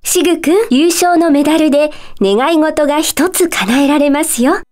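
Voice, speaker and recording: A gentle lady teacher from a magic school for a Japanese video game. Her voice is high and sweetly composed. She speaks politely, like someone addressing young pupils, with a playful, coy touch. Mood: kindly, gentle. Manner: polite, soft-spoken teacherly phrasing with playful coyness. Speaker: voice actor.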